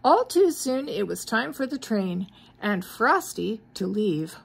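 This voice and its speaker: A woman's voice: mid-range voice, big pitch swings, takes it slowly, vocal fry, slightly rough. A middle-aged or older woman with a mild cold, reading a children's story aloud expressively, with questioning asides to young listeners. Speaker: grandmother reading to grandchildren.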